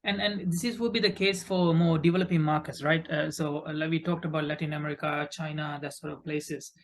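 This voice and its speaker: A man from Sri Lanka answers interview questions conversationally, often halting and repeating words mid-phrase. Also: a little high, steady tone. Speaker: podcast guest, recruitment company founder.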